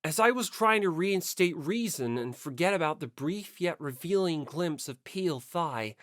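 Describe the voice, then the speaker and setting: A woman narrates a scripted story in the first person as an audiobook reader. Her pitch is animated and varied. The recording is very dry. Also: normal speed, no echo.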